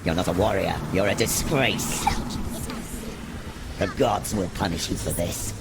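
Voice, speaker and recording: A man playing a menacing, domineering dark spirit in a video game, gravelly, slow and full of contempt. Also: sits low, very noisy. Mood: scornful. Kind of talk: dramatic video game acting.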